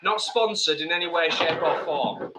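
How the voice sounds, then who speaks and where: A man's voice: fairly high pitch, moderate pace, noisy background. A middle-aged man co-hosting a live stream in casual chat, reading out viewer comments. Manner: lively, chatty, reads viewer comments. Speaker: co-host.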